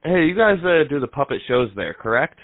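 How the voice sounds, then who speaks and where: A young man making a deadpan prank call in a casual, halting way, heard through muffled, narrow audio. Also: on the high side, no echo. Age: young adult. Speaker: prank caller, comedy show host. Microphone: headset microphone.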